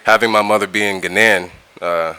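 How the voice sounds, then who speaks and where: A man's voice: lowish voice, steady tone, normal speed, sharp tone, low-quality recording. A middle-aged man, a music producer talking in a casual interview, laid-back and slangy, often repeating words as he restarts. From California, United States.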